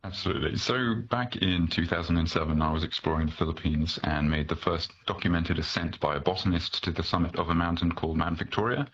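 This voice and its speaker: A man's voice: sits low, fast, hardly any pauses, fairly bright tone. A man from Malaysia speaking as an expert guest, explaining things articulately and conversationally in an interview. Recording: dull, noisy remote guest line.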